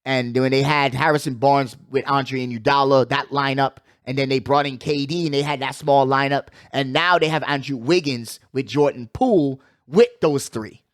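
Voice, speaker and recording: A man hosting a casual sports talk show, giving animated, slangy commentary and repeating phrases for emphasis. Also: medium pitch, moderate pace, few pauses, no room sound, clean studio sound. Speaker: sports podcast host.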